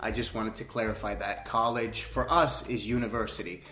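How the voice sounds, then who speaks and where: A man's voice: lowish voice, little pitch movement, a little fast, bright, forceful tone, soft background music. A young man in his twenties from Denmark, speaking English with a Danish accent, chatting loosely and rambling in a casual reaction vlog from a small room. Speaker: YouTuber. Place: small indoor room at a desk. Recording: echoey room.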